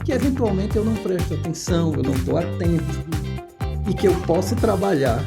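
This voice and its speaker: A man with a northeastern Brazilian accent from Pernambuco, explaining things conversationally as a podcast guest and pausing to search for words. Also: high-pitched, expressive, creaky, loud music behind the voice. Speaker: guest expert, oncologist.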